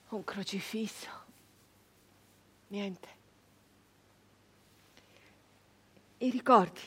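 An actress playing a proud, bitter peasant woman turned nineteenth-century brigand in a theatrical monologue, halting and repeating her words insistently. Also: medium pitch, husky. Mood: wistful, grieving. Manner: slow, halting, insistent repetition.

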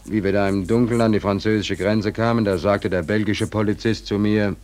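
An actor playing a hapless stateless drifter in a 1940s German radio play, colloquial and hesitant, naive but easily indignant. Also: male voice, lowish voice, fairly even intonation, fairly quick, a little mellow, lightly acted. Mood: aggrieved storytelling.

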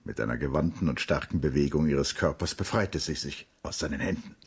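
A man narrating as a grave, literary storyteller in a German radio drama, with a low, husky voice. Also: brisk, darkish tone, full character voice. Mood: grave, descriptive.

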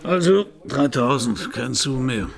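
An actor playing a hard-boiled, wary private detective in a German radio crime drama, terse and deadpan in narration and dialogue. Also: male voice, medium pitch, unhurried, creaky. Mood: tough.

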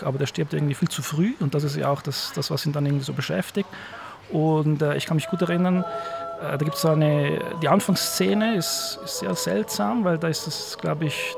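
A man speaking casually and reflectively as an interviewed professor, halting and repeating words as he thinks aloud. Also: fairly high pitch, gravelly, a little mellow. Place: university office.

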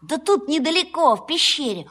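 A woman's voice: high voice, sing-song, normal speed, fairly bright tone. An actress playing a bold, playful young male hare in a children's audio play, teasing and reassuring. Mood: conspiratorial, teasing.

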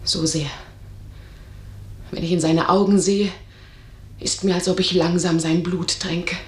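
A woman's voice: lowish voice, steady tone, measured pace, a little mellow, lightly acted. An actress in a German radio drama playing a passionate young woman, impatient and fiercely defiant. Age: young adult. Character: defiant, passionate young daughter of a strict household. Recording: roomy, echoing radio drama recording.

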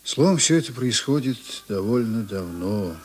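An actor playing a journalist narrator in a radio play, reminiscing in a conversational, musing way with deliberate pauses. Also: male voice, fairly low pitch, lively intonation, noisy background, lightly acted. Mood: reflective. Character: reminiscing male journalist narrator, unhurried and intimate.

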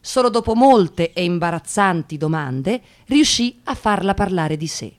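An actress playing an observant interviewer who narrates encounters in a measured, literary, reflective way in a radio drama. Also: female voice, on the low side, animated. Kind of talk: scripted first-person narration in radio drama.

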